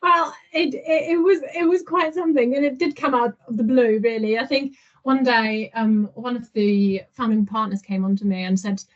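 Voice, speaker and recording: A woman guest on a podcast interview, telling her stories in a lively way and often laughing. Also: a little high, moderate pace. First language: not French. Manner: animated storyteller, laughs often. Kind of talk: casual interview. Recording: dull lo-fi audio.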